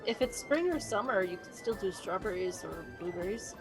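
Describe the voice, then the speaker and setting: A woman's voice: medium pitch, lively intonation, moderate pace, music in the background. A woman playing a tabletop game, talking casually as she joins in.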